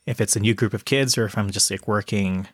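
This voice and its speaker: A man's voice: on the low side, steady tone, fairly quick, dry recording, studio quality. A Canadian man hosting a casual podcast, rambling with many fillers. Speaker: podcast host. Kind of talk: casual chat.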